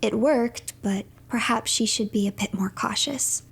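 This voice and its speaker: A woman narrating a video game as a story in a scripted third-person style, storyteller-like, often dropping to a hush at the ends of phrases. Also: on the high side, a little slow, gravelly, husky. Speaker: streamer narrating game playthrough.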